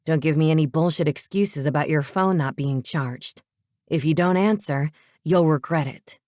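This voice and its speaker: A woman's voice: low-pitched, normal speed, a little mellow, no echo, studio quality, voice pushed down, close to an everyday voice. A woman voicing a controlling teenage boy in an audiobook, curt and commanding with a sarcastic edge. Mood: commanding, threatening. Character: controlling, menacing teenage boy, coolly taunting.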